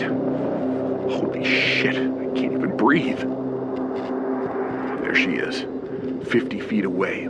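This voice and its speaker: Deep-ish guy doing old radio style horror narration, really expressive and dramatic, loud music behind him. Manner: acts out urgent, frightened moments.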